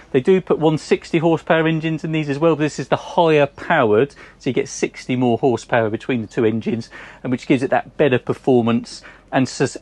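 An English man in his forties, speaking at an average pitch, gives an easygoing walkthrough of a boat. He talks in a chatty, conversational way, full of ums, while moving about the deck outdoors in a marina. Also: no echo. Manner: relaxed, chatty, frequent ums, pointing out features. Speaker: boat sales presenter. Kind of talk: casual walkthrough tour.

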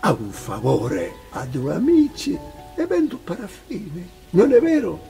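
An actor playing a cheerful, conniving Italian shopkeeper in a radio drama, theatrical, with an Italian accent and mixed-in Italian words. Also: male voice, high-pitched, wildly swooping pitch, takes it slowly, vocal fry, exaggerated voice. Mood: conspiratorial. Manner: theatrical, mixing Italian into Spanish. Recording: music behind the voice.

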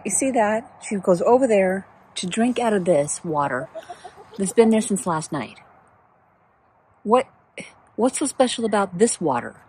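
A woman's voice: warm tone, husky, poor audio. A woman vlogging with a handheld phone in her backyard chicken run, chatting casually and talking to her hens. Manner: chatty, talks to her hens in a high playful voice. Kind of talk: casual first-person vlog narration. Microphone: handheld phone camera, speaker off screen.

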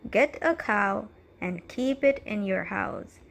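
A woman's voice: mid-range voice, lively intonation, takes it slowly, creaky, heavily acted. A woman voicing a storybook's old wise man, giving firm instructions. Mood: firm advice.

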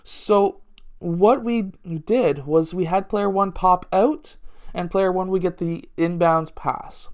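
A man's voice: high-pitched, slow pace, a little mellow. A man, a basketball coach talking through plays step by step in a casual instructional way. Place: small indoor room at home.

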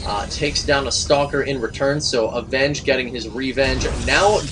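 A man casting a live strategy video game match, joking between plays and building excitement at the big moments. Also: mid-range voice, moderate pace, background noise. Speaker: esports caster.

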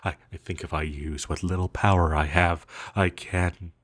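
A man playing an ancient banished villain in an intimate roleplay audio, seductive, possessive and scheming, with teasing, lingering pauses. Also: deep voice, steady tone, a bit bright. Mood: urgent, possessive.

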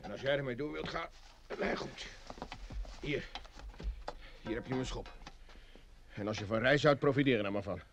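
An actor as an assured, dryly comic gravedigger. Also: male voice, medium pitch, moderate pace, pauses often, very noisy, lightly acted. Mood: dry, deadpan. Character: gruff, matter-of-fact male village gravedigger. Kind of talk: old Dutch radio drama acting.

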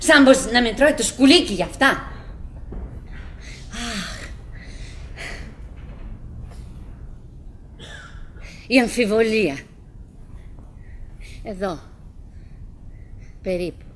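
An actress playing a chatty, wistful wife who clings to cheer, rambling and prompting herself in a theatrical radio-play monologue. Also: female voice, a little high, animated, long pauses. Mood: tender, grateful, then uneasy, subdued. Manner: rambling, self-prompting, swooping between coaxing and musing.

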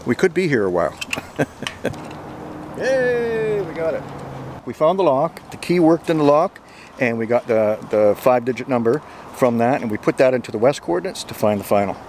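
A man's voice: mid-range voice, big pitch swings, moderate pace, darkish tone, slight background noise. Chatty middle-aged man vlogging outdoors. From Canada. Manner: chatty, upbeat, laughs often. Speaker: geocaching vlogger. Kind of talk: casual outdoor vlog commentary. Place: outdoors on a bridge and wooded trails in summer. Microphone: handheld camera at arm's length.

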